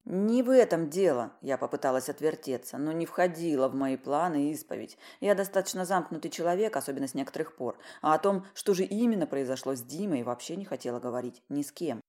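A woman reading a first-person story as an audiobook narrator, expressive, with a wry, ironic manner. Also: low-pitched, quick pace, few pauses.

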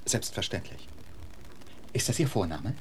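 An actor playing a witty, urbane gentleman poet in a radio drama, elaborately polite, wry and eloquent. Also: lowish voice, very animated, measured pace, husky, heavily acted. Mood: wry, unflappable.

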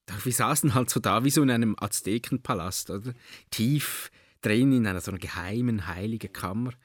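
A man's voice: on the low side, no echo, studio quality. A man with a Swiss German accent tells an anecdote casually, conversationally searching for words and adding tag questions.

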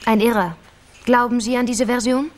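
A woman's voice: fairly high pitch, steady tone, normal speed, a little hiss or hum, natural voice. An actress playing a guarded, irritable young mother in a radio crime drama, with a terse, defensive manner. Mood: guarded, insistent.

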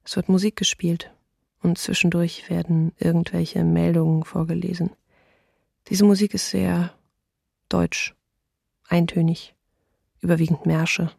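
An actress playing a weary wartime civilian in a documentary radio play, reading a diary in an understated manner. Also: female voice, lowish voice, little pitch movement, unhurried, creaky, pauses often, mellow, slightly rough, dry recording, clean studio sound, heavily acted. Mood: weary, matter-of-fact.

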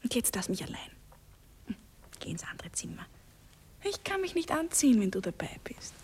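An actress playing a worldly married woman with a secret lover, coaxing and lightly mocking in a breathy murmur, in a radio drama. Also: on the high side, very animated, normal speed, darkish tone, in a whisper. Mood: fond, brisk. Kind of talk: German radio drama acting, intimate dialogue.

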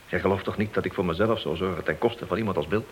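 An actor playing a remorseful, earnest police officer in a radio play, apologetic and halting. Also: male voice, low voice, a little hiss or hum, lightly acted. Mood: remorseful, pleading.

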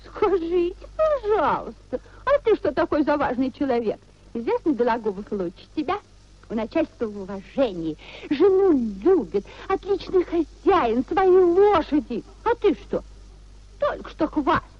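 Pouting, money-minded young wife in vintage radio theatre, mocking sing-song jibes, very lively high pitch. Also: female voice, unhurried. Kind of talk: 1950s Russian radio theatre acting. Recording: muffled 1950s radio play recording.

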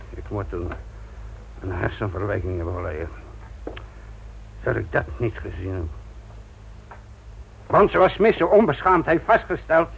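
An actor playing a sardonic, gruff older police instructor in a Dutch radio play, patronising and deliberate in manner. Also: male voice, mid-range voice, slight background noise. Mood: rueful, dry. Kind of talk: Dutch radio drama acting. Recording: thin, narrow-band old radio recording.

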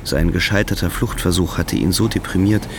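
A man's voice: low-pitched, a little fast, vocal fry, noisy background. A man narrating a radio drama in scripted literary prose.

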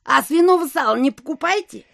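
An old provincial landlady in an audio drama, thrifty, simple and wary. Her voice is creaky and rather high, recorded very dry. She speaks in a homely, hospitable way with a fretful edge. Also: expressive, a little fast, fairly bright tone, no echo, exaggerated voice. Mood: wheedling, wary. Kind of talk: audio play acting.

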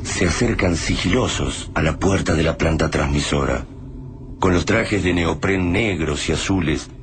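Grave storyteller, very low voice, Argentine accent, dramatic radio narration, noisy backdrop. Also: male voice, unhurried. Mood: grave, suspenseful. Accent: Argentine Spanish. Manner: grave, literary. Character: grave storyteller of a doomed survival tale. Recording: noisy background ambience.

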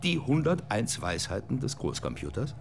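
An actor playing a talkative computer persona who argues insistently and lectures. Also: male voice, medium pitch, normal speed, creaky, slight background noise. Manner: animated, insistent, preachy. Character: talkative computer persona, male, pushy and lively.

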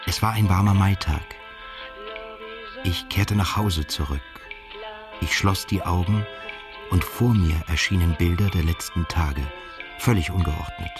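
An actor in a literary radio drama playing a haunted, reflective narrator, courteous and quietly probing, with restrained, formal speech. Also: male voice, low-pitched, unhurried, long pauses, over music. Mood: narrating, pensive.

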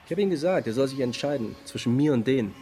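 An actor playing an agitated, indignant young friend with slangy, emphatic delivery in a naturalistic radio drama. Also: male voice, mid-range voice, lively intonation, fast, dark tone, lightly acted. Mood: bitter, angry.

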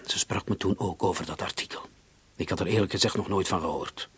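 A man's voice: deep voice, a little fast, fairly bright tone, breathy edge, dry recording, exaggerated voice. An actor playing a troubled, guilt-ridden man under suspicion in a radio drama, speaking earnestly and defensively.

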